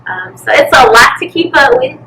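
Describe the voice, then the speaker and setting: A woman, chatting casually as an interview guest and telling her story in an animated way. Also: high-pitched, normal speed. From Birmingham, Alabama, USA. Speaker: interview guest, pole studio owner and event planner. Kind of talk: casual chat. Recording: slightly distant mic, noisy room ambience.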